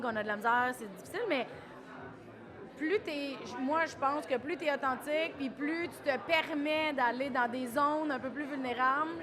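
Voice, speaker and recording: A woman with a Quebec French accent, her voice fairly high, talking about her craft with candid earnestness and easy slang. A crowd murmurs clearly in the background. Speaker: comedian guest. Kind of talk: reflective interview answers.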